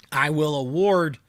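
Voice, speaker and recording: A man's voice: a little high, fairly bright tone, dry recording, clean studio sound. Stuffy-nosed man chatting with viewers. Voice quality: nasal from congestion. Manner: chatty, laughs often, answers viewer comments. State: stuffy nose, sore throat. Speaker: livestream host. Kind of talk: casual live chat with viewers.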